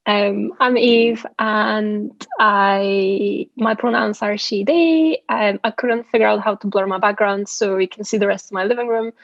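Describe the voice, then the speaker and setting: A woman's voice: mid-range voice, steady tone, a little slow, vocal fry, few pauses, no echo, highs cut off. A woman in her thirties with a Bulgarian accent, introducing herself casually and hesitantly from her living room on a video call. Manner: hesitant, many ums. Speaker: service designer.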